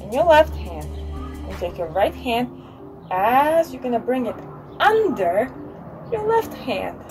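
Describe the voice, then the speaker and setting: A young adult woman leading a movement lesson for children outdoors, giving simple, encouraging step-by-step instructions from a little way off the camera. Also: on the high side. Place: outdoors on a covered wooden deck. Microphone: camera a few steps away. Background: music.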